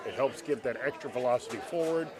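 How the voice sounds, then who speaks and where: Deep-voiced male color commentator, laid-back, booth mic, crowd noise. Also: quick pace. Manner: laid-back, explains technique. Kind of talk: live esports commentary. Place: announcer's booth at a tournament. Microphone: booth microphone. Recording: busy event with crowd noise. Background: crowd chatter.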